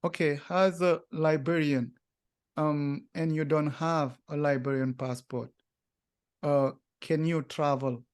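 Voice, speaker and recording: A man interviewing a guest, asking slow, deliberate questions with many fillers. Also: fairly high pitch, fairly even intonation, smooth.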